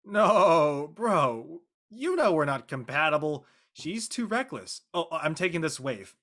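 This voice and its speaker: Awkward, evasive teen boy acted by a man, animated pitch, casual drama delivery. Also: a little slow. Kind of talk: casual scripted drama dialogue.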